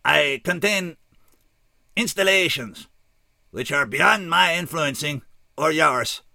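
A man voicing a towering, tormented alien scout who speaks slowly and formally with an odd, unplaceable accent, pitched well above the reader's own voice. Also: expressive, gravelly, pushed and bright, no echo, studio quality, full character voice. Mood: grave. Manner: slow, formal, oddly accented speech.

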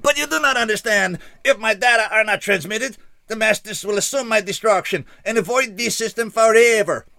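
A man's voice: sits high, a little fast, few pauses, bright, forceful tone, no room sound, pitched above the performer's own voice. A man voicing a tormented giant male alien who speaks in stiff, formal phrases. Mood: desperate. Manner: stiff formal diction, rising to shrieks.

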